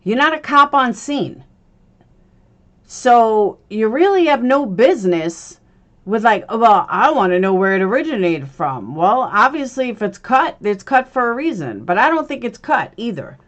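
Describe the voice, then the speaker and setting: A woman with a slightly high voice comments in a highly animated way. Her delivery is casual and blunt, with open scorn and plenty of swearing. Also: normal speed, highs cut off. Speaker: commentator reacting to a played clip. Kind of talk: casual, profane reaction commentary.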